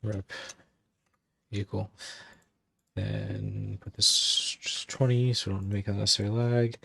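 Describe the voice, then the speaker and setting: Streamer, male, low dry voice, put-on Irish accent, chatty tutorial read while typing. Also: level tone, takes it slowly, vocal fry, pushed and bright, husky, no room sound. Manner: thinks aloud, muttering commands while typing. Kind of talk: casual game livestream tutorial.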